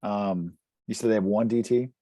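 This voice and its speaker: A man's voice: lowish voice, fairly even intonation, normal speed, dark tone, no echo. A man playing a tabletop role-playing game, talking casually as he states his moves and rolls.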